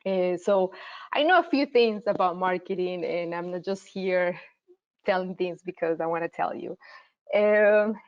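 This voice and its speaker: A woman's voice: lowish voice, measured pace, smooth, dull audio. Woman with a Colombian accent teaching. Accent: Colombian, from Medellín. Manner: friendly, explanatory, with frequent fillers and restarts. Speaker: marketing teacher and host. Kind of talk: live online workshop presentation.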